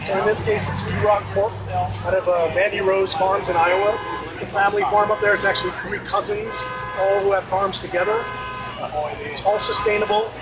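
Man, 30s, American accent, high voice, casual vendor pitch, distant mic, very noisy outdoor market. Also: flat delivery, moderate pace. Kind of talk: casual sales explanation. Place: open market tent outdoors. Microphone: phone camera a few feet away. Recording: loud outdoor noise, off-mic.

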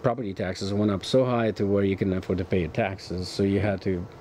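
A man's voice: low-pitched, fairly even intonation, a little fast, dark tone, a little hiss or hum. A man in his fifties from Romania with a Romanian accent, a guest in a studio interview, explaining at length in an earnest way with many fillers. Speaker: guest, author's son. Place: dark TV studio set, indoors.

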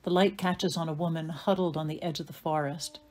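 A woman reading scripted narration and stage directions for an audio play in a measured, atmospheric way. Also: sits low, steady tone, darkish tone.

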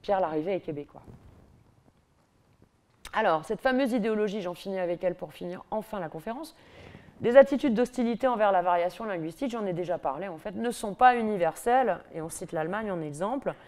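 Middle-aged Parisian-accented woman lecturer; lively, ironic delivery at a lectern. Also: mid-range voice, a little fast. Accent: Parisian French. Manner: lively, ironic, mimics attitudes with asides. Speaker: university lecturer. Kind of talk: public university lecture. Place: large lecture hall, at a lectern on stage.

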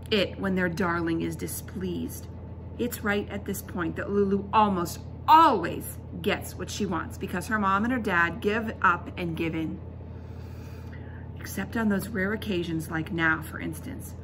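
A middle-aged woman reading a children's book aloud as a teacher, expressive, emphatic and instructive. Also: on the low side. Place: indoors in a school classroom. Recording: classroom recording with background noise.

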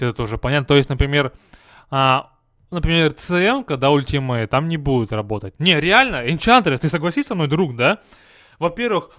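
A man's voice: on the high side, dry recording, clean studio sound. A young man reviewing a game patch in casual commentary, joking and firing rhetorical questions at the viewer. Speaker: gaming video host.

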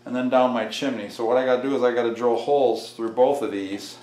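A man's voice: mid-range voice, fairly even intonation, fast talker, darkish tone. A middle-aged man thinking aloud as he narrates a hands-on build, folksy and self-deprecating, half muttering to himself and the viewer. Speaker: DIY workshop host. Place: indoor garage workshop. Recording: echoey workshop, clattering tool noise.

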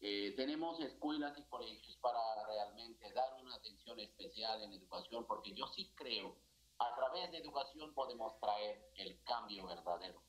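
A middle-aged man from India speaking Spanish with an Indian accent, an earnest priest talking haltingly with many fillers during a video-call TV interview. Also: on the low side, poor audio. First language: not Spanish. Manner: earnest, gestures a lot, halting non-native phrasing with many fillers. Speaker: priest, foundation director. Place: indoor office with a religious statue.

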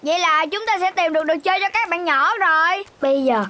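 A woman's voice: very high-pitched, a little fast, bright, forceful tone, slight background noise. A woman with a Southern Vietnamese accent voicing a spirited, boastful, eager little boy animal in a children's cartoon. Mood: excited.

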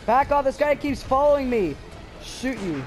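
A man from Canada giving casual gameplay commentary, excitable and swearing, a little hoarse from yelling. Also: extremely high pitch, normal speed, faint music behind. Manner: excitable, swears and yells at the game. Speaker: gameplay streamer.

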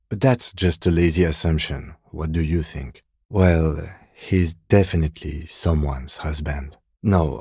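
Composed police detective, video game dialogue, husky, dark tone, close dry recording, restrained, dutiful. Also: male voice, extremely low pitch, no room sound, heavily acted. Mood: calm, analytical. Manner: measured, restrained, matter-of-fact.

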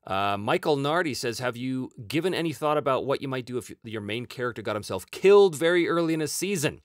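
A man's voice: medium pitch, expressive, fast talker, no room sound, clean studio sound. A Canadian man in his forties, a host answering viewer questions in a rambling, chuckling casual chat from a small home room. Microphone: headset-style, webcam framing.

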